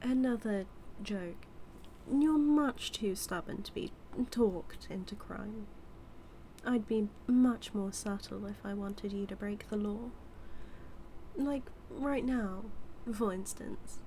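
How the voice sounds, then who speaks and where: Woman to act British villainess for intimate audio drama; unhurried, smug, seductive teasing of a superhero rival, light ambient noise underneath. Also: mid-range voice, dark tone, poor audio. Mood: playfully menacing. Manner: teasing, sly, flirtatious, drawn-out delivery. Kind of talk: intimate audio roleplay monologue.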